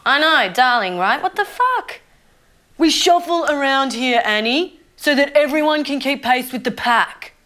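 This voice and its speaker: A woman's voice: sits high, expressive, a little slow, fairly bright tone. Indignant young Australian zombie woman played by an actress. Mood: fired-up indignation. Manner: sweary, indignant, conversational rambling. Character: young female vegetarian zombie, opinionated, ranting idealist with a conscience. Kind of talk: mockumentary interview monologue.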